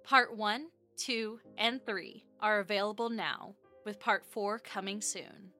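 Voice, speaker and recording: Bright-voiced woman reading a podcast promo. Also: medium pitch, takes it slowly, faint music behind. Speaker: podcast producer and announcer. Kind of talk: scripted promo narration.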